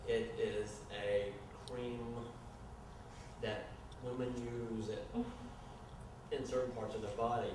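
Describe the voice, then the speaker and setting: A man informally narrating stage directions at a live reading, explaining the action in a halting way. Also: fairly low pitch, flat delivery. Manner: halting, explanatory, apologetic asides. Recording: distant, very echoey room, noisy ambience.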